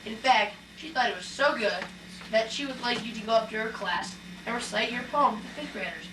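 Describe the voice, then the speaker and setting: A woman playing a gentle, encouraging schoolteacher in an amateur puppet show. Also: on the high side, a little fast, close to an everyday voice. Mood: kindly. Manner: gentle, solicitous. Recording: echoey, distant, noisy live recording.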